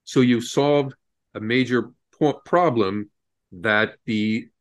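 A man's voice: medium pitch, slow pace. A man hosting a podcast in a casual, enthusiastic way, often laughing and restarting his sentences. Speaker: podcast host.

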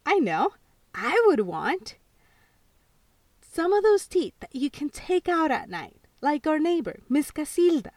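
A dreamy, imaginative little girl of about four wishes out loud in a high, lively voice, as a woman reads her part. She talks slowly and earnestly in a storybook tale. The sound is dry and set a little back from the mic. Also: dry recording, far from the mic, heavily acted.

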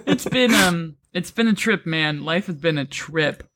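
Female podcast co-host, low voice, playful banter, dry close mic. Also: animated, fairly bright tone, no echo. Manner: playful, laughs often, quick asides. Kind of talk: casual chat.

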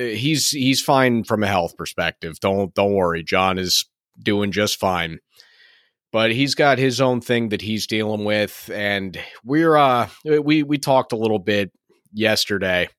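Guy hosting his own podcast, kinda hoarse, lowish voice, dry close sound, rambles w lots of ums. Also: a bit bright, no room sound. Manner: rambling, frequent restarts and fillers. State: slightly hoarse and raspy. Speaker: podcast host. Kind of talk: solo podcast chat.

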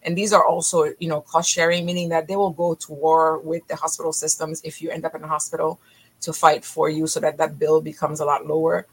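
A middle-aged woman from Haiti, a physician talking as a podcast guest in energetic, emphatic bursts that often restart mid-phrase. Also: sits low, fairly quick, slightly rough. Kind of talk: podcast interview.

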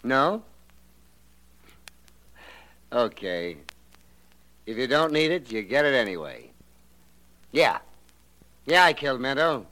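Threatening murderer, animated, sharp-toned, old radio drama. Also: male voice, medium pitch, unhurried, pauses often, low-quality recording. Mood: defiant. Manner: threatening, sneering. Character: menacing young male murderer, sneering tough. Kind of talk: 1940s radio acting.

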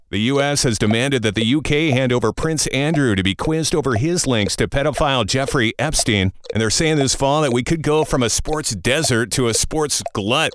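A man's voice: mid-range voice, fairly bright tone. A middle-aged American man hosting a podcast in upbeat morning-radio style, with jokey, informal asides. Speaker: podcast host. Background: music.

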